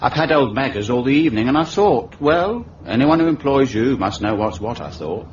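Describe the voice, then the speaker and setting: An actor playing a wily middle-aged London undertaker with a Cockney accent, ingratiating, wordy and deferential, in a radio detective drama. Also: male voice, mid-range voice, lively intonation, normal speed, natural voice. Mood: sly, confiding. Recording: muffled, hissy radio recording, distant mic.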